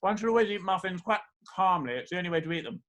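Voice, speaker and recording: A man's voice: high-pitched, animated, quick pace, fairly bright tone. A man acting an unflappable young dandy in a Victorian stage comedy, airy, glib and mock-serious. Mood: airy, flippant.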